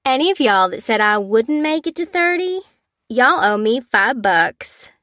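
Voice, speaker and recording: A woman's voice: medium pitch, moderate pace, a bit bright, dry recording, studio quality, lightly acted. A woman voicing a playful, gushing mother of thirty, cooing and stretching out her words. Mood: gushing, elated. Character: gushing, playful thirty-year-old Southern American mother.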